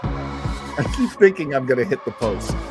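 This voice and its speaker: A man hosting a podcast, wrapping up the episode in casual, rambling chat with self-deprecating asides. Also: mid-range voice. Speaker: podcast host.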